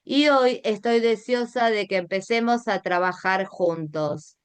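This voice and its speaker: Mujer argentina de voz limpia y pausada. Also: female voice, mid-range voice, smooth, dry recording. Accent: Argentine Spanish. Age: middle-aged. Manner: guiding, addresses listeners directly with rhetorical questions. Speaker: art teacher and online workshop host. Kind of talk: introductory online class presentation.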